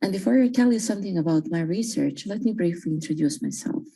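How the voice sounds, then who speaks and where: A woman professor from Croatia giving a measured presentation, with frequent fillers. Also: lowish voice, lively intonation, creaky, dark tone, breathy edge. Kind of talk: presentation talk.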